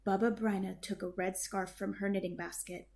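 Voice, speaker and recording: A woman narrating a children's story in an expressive, deliberate storytelling manner. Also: medium pitch, gravelly.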